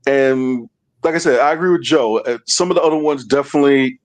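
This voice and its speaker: A man's voice: mid-range voice, a little fast. A man giving a casual review as a panelist, hesitant, often repeating phrases as he thinks.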